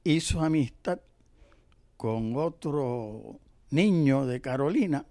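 An older Puerto Rican man, a professor being interviewed, telling history conversationally and haltingly, often pausing to search for words. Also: mid-range voice, animated, dark tone, dry recording. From Puerto Rico. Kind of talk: conversational oral history storytelling.

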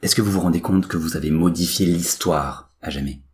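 A man's voice: low voice, fairly quick, close to an everyday voice. A man playing an anxious, exasperated professor, agitated, bossy and scolding, in a comic audio story. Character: anxious, exasperated male professor, easily angered.

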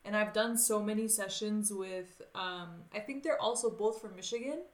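A woman's voice: mid-range voice, normal speed. A woman hosting a casual chat, enthusiastic and upbeat, using lots of "like". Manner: enthusiastic, filler-heavy, upbeat. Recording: roomy echo.

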